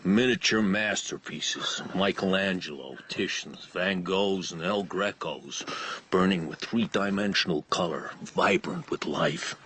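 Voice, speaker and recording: An actor with an American accent playing a bitter tattooed carnival drifter in a radio drama, brooding and dramatic, dwelling on hushed words. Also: male voice, low-pitched, a little slow, slight background noise. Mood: brooding, boastful.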